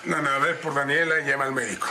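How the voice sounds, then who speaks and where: A man's voice: mid-range voice, steady tone, fast, background noise, close to an everyday voice. An actor playing a commanding, formal rancher devoted to his wife in a Spanish-language telenovela. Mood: commanding, concerned. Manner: formal, authoritative. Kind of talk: telenovela acting.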